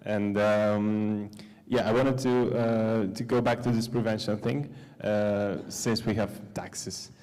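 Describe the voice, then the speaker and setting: A man with a Polish accent asking a question from the audience, haltingly searching for words in a reverberant hall. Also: on the low side, little pitch movement, darkish tone, clean tone. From Poland. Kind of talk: hesitant spoken question. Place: large conference hall. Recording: long hall reverb from conference sound system.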